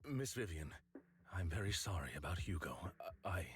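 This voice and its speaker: An actor playing an earnest, courteous and remorseful male agent in a video game, speaking in a formal, measured way. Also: sits low, big pitch swings. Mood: respectful, apologetic. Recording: background music behind the voice.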